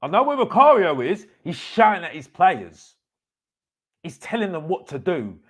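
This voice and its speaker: A man hosting a live football fan reaction show, giving casual opinions in an emphatic way, repeating phrases for effect and often breaking sentences up haltingly. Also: sits high, big pitch swings.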